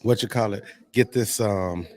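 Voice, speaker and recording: A man's voice: on the low side, moderate pace, a little mellow. An American man chatting casually on a live show as a host, earnest and encouraging in how he talks. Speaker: podcast host and actor.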